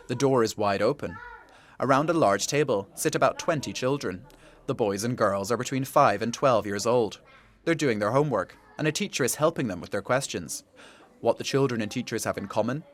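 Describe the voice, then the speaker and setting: A man narrating a radio documentary feature as the reporter, in a calm, measured scripted delivery. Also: mid-range voice.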